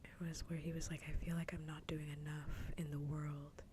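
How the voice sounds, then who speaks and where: A woman whispering softly and breathily in a casual, rambling ASMR chat, close to the microphone. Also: level tone, normal speed. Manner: rambling, conversational. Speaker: ASMR livestreamer. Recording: low rumbling background noise.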